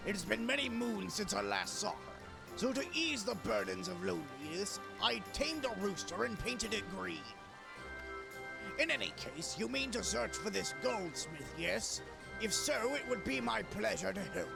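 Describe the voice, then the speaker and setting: A man voicing a grand, courtly wandering warrior in a video game, with a gravelly voice and formal, archaic speech. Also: sits high, animated, normal speed, heavily acted, poor audio. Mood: affable, dignified. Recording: clear music behind. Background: game music.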